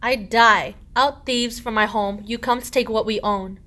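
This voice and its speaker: A woman's voice: a little high, steady tone, a little slow, sharp tone. A woman playing an irritable wife feigning agony after childbirth, groaning melodramatically in a verse radio play. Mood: agonized.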